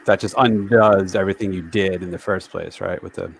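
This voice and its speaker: A man's voice: low voice, fairly even intonation, fairly quick, creaky, husky. A man from the New York area hosting a casual livestream over a video call, chatty and self-deprecating as he reads viewer comments aloud. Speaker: livestream host. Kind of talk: casual live-stream chat.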